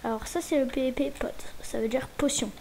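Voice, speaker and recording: Higher-voiced woman with a cold, hesitant. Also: fairly even intonation, normal speed, noisy background. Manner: hesitant, restarting sentences, muttering whispered asides. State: a little stuffy from a cold. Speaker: gaming channel host. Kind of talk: casual gameplay tour.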